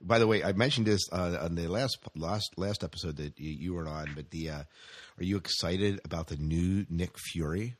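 A man's voice: low voice, normal speed, no room sound, clean studio sound. A man hosting a casual news chat, speaking conversationally and often putting questions to his co-host. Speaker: podcast host reading entertainment news.

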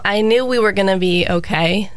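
A woman's voice: medium pitch, moderate pace, no echo. A young woman in her twenties, answering interview questions in an upbeat, enthusiastic way. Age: 20s. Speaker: pilot, flight center co-owner.

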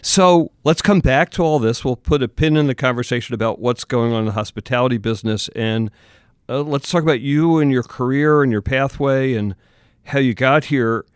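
A man hosting a podcast, asking interview questions in a measured, thoughtful way. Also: medium pitch, smooth, no echo, studio quality. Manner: measured, thoughtful, asks at length.

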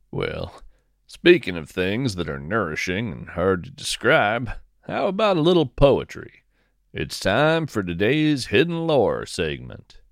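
A man narrates scripted fiction with a slow, deliberate pace. His low voice moves expressively up and down as he tells the story. The sound is dry and close, with no room around it. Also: vocal fry, no room sound. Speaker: podcast host and narrator.

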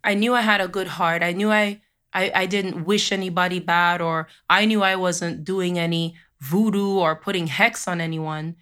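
A Jamaican-born woman hosting a spiritual podcast with a cold, losing her voice a little, who moves from slow chanted affirmations into a rambling talk full of fillers. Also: fairly low pitch, steady tone, a bit bright. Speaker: podcast host.

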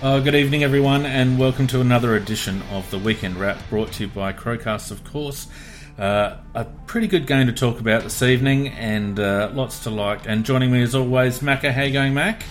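A man with an Australian accent hosting a casual football chat show, relaxed and full of filler uhs. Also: mid-range voice, brisk, faint music behind. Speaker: podcast host.

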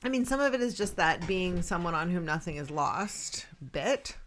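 A woman's voice: lowish voice, lively intonation, normal speed, fairly bright tone. A woman co-hosting a casual chat, rambling and laughing easily as she talks. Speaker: podcast co-host.

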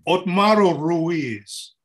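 A man chatting casually as an interview guest, telling rambling, animated anecdotes in a talkative way. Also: fairly high pitch, extremely slow, crowd noise. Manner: gabby, rambling, animated storyteller. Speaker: interview guest, touring keyboard player.

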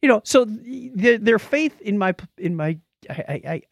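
A man chatting casually as a podcast co-host, his somewhat high voice rising and falling in lively swoops. He often stumbles and restarts his phrases. The sound is close and dry, with very little room. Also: a little slow, creaky, no echo, highs cut off. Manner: animated, stammering, restarts phrases.

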